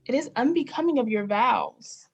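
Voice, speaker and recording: Woman acting as stern high-voiced samurai envoy. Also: lively intonation, normal speed, slightly rough, muffled recording. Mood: stern, reproving. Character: stern, formal samurai envoy, loyal and reproving. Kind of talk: dramatic script reading.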